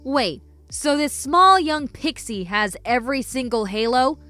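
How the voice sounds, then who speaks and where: A woman's voice: high-pitched, expressive, measured pace, pushed and bright, no echo, soft background music, full character voice. A woman playing an eager young-hearted detective in an amateur fan film. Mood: curious. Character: eager, easily surprised female detective.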